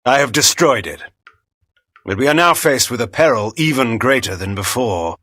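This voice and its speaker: An actor playing a proud, cunning vampire noble in a video game, with a theatrical, formal and imperious manner. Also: male voice, mid-range voice, lively intonation, normal speed, a bit bright. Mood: commanding, urgent. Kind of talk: dramatic video game cutscene acting.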